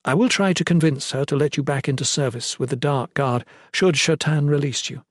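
A man's voice: a little high, fairly quick, no room sound, studio quality, voice pushed up, natural voice. A man voicing a tender, dignified holy noblewoman with a formal, hesitant manner in a fantasy storybook. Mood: resigned. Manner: formal, soft-hearted, hesitant.

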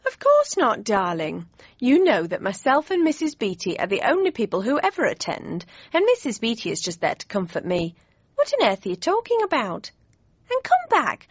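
A woman voicing a doting, fussy older mother who exclaims and jumps into high squeaks. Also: wildly swooping pitch, moderate pace, breathy edge, no echo, dull audio, full character voice. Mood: flustered. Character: doting, flustered older mother, shrill when startled.

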